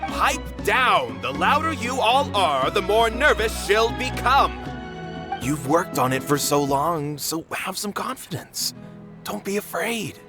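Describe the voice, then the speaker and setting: A man's voice: sits high, very animated, takes it slowly, over music, heavily acted. A man voicing a blunt but kindly theatre manager in a video game. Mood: gruffly encouraging.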